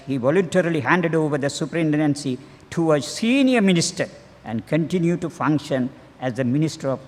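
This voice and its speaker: An older man with a Sri Lankan accent and a notably high-pitched voice reads a formal tribute. His delivery is measured and list-like, with small slips that he corrects. The sound rings with the long reverb of a large hall. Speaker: minister reading a colleague's tribute. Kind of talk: formal read-aloud biographical tribute. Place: large reverberant hall, church conference service.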